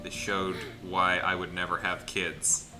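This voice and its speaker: A man's voice: low voice, unhurried. A man in a casual let's play, reading visual novel text aloud and joking as he goes. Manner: joking, animated, breaks into laughter. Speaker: gaming channel player reading game aloud. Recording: music behind the voice. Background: game music.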